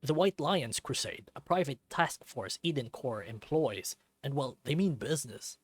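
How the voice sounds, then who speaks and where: A man's voice: medium pitch, animated, normal speed, breathy edge, dry recording. A man voicing a friendly but easily flustered young bull bartender in a visual novel, talking in casual slang. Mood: nervous, alarmed. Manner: casual, slangy, stammers when nervous. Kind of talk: visual novel character reading.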